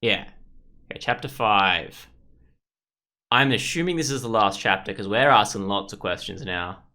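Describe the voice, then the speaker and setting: A man gives casual commentary on a game he is playing. His voice is a little low yet bright and forward. He rambles informally, like a host chatting to viewers. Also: normal speed. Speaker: gaming video host.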